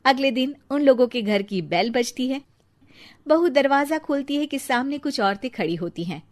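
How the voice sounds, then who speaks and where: Woman narrator for an indian cartoon story, voice a bit on the high side. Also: expressive, normal speed.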